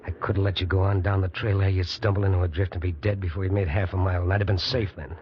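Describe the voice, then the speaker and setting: Man playing a deep-voiced, resigned fugitive. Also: flat delivery, very fast, natural voice. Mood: reflective, bargaining. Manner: resigned, fatalistic, plainspoken. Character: resigned, wry male fugitive prisoner, freezing and worn out. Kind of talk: old-time radio drama acting. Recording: dull, noisy old radio recording.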